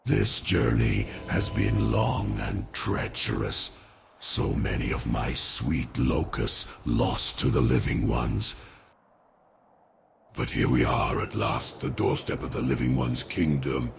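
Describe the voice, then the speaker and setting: A man voicing a giant undead spider lord in a fantasy game cutscene, gloating and crooning grandly in a creaking croak. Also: lively intonation, noisy background, heavily acted. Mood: grim. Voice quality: deep gravelly creaking croak. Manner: slow, crooning, speaks grandly of himself.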